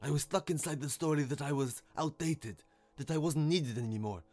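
A man voicing a proud, gentle lion in a story, speaking in an earnest and emphatic, measured way. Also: a little high, soft background music, voice pushed up, natural voice. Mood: earnest confession. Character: proud, gentle, growling male lion, reformed fighter.

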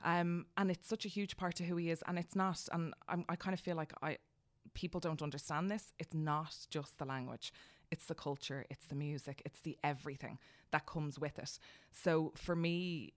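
A woman in her thirties with an Irish accent, a podcast guest telling stories in a lively, emphatic way. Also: low voice, normal speed. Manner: animated, emphatic storyteller. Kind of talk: casual chat.

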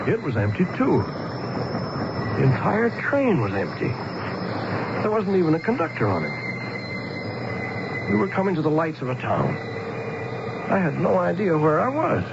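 An actor playing a weary, bewildered middle-aged commuter who grows impatient, in a suspenseful radio drama with first-person storytelling. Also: male voice, fairly high pitch. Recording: dull, noisy 1970s radio broadcast.

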